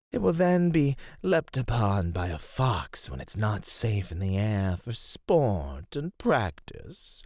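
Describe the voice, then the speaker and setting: A man performs as an ancient predatory serpent monster in an ASMR roleplay. He speaks slowly and deliberately, savouring ornate threats with teasing patience. The sound is close and dry, with no room around it. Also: fairly low pitch, no room sound, full character voice. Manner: slow, deliberate, ornate menacing speech with whispered asides. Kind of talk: ASMR roleplay, scripted acting.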